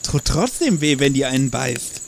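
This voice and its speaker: Man acting a lively, joking camper. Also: mid-range voice, moderate pace, gravelly, a little hiss or hum. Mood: disgusted. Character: squeamish, joking male camper. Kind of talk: German audio horror-comedy acting. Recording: music behind the voice.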